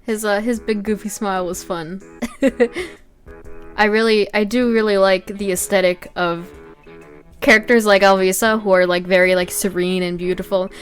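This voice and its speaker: A woman's voice: medium pitch, fairly even intonation, moderate pace. A woman chatting informally as an illustrator talking through her own drawing process. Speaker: illustrator, video creator. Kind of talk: casual chat over a speedpaint. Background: music.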